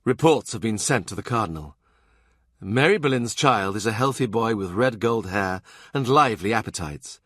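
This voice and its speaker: Measured male audiobook narrator, dry sound. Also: on the low side, animated, a bit bright, dry recording. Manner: measured, literary reading. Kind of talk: scripted narration of a historical novel.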